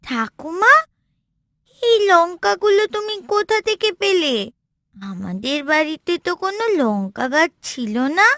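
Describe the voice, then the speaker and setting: A woman's voice: extremely high pitch, animated, a little slow, a bit bright, dry recording, clean studio sound, pitched above the performer's own voice, heavily acted. A woman voicing a sweet, eager little village girl, an affectionate granddaughter in a cartoon story. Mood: curious. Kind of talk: cartoon voice acting.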